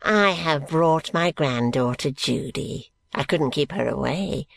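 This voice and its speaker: A reader voicing a frail, wheezing old man in a storybook, slow and fawning. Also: mid-range voice, expressive, gravelly, fairly bright tone, no echo, full character voice. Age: over 80. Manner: fawning, ingratiating.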